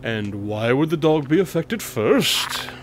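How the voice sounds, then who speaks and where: A man's voice: mid-range voice, very animated, takes it slowly, background noise. A man playing a confident, wryly impatient detective in a radio drama, asking pointed, probing questions.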